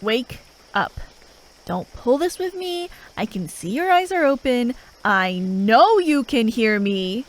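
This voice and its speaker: A woman playing a gruff, impatient but grudgingly caring fantasy assassin in an ASMR roleplay, sarcastic and sweary as she talks to the listener. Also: fairly high pitch, big pitch swings, slow, slight background noise, low-quality recording. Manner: sarcastic, drawling, sweary, with sighs.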